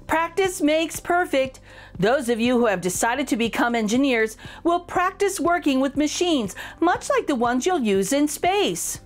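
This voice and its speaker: A woman reading a picture book aloud for children in an expressive, encouraging way, addressing young listeners directly with clear emphasis. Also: sits high, a little slow. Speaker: children's storytime reader.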